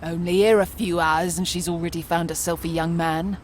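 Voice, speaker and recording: A woman acts out an ancient, eccentric street crone in a comic dub. Her voice sits on the low side as she rambles, coaxes and threatens with quaint endearments. The track has clear background noise. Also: fairly even intonation, moderate pace, natural voice. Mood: menacing. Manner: rambling, cajoling, threatening with old-fashioned endearments. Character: eccentric, menacing, ancient female street crone, 250 years old. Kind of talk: comic dub character acting.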